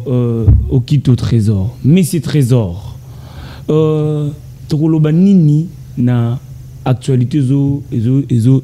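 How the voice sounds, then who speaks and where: Congolese male talk-show host, dark timbre, halting questions. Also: mid-range voice. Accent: Congolese French. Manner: halting, repeats words, brief backchannel "mhm". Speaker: host interviewer. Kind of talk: interview questions, mixing French and Lingala.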